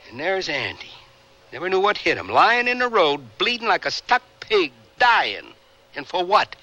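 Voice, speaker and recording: A haunted, volatile Vietnam veteran in a radio play. His voice is on the high side, bright and forceful. He talks in rough working-class slang, bitter and quick to get defensive. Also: very animated, heavily acted. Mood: haunted, anguished. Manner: rough colloquial speech, defensive. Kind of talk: radio drama acting.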